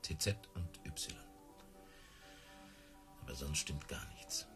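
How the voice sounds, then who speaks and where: An actor playing an earnest, easily hurt big-cat tamer in love, in a radio play. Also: male voice, very deep voice, slow pace, over music. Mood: devoted, hurt.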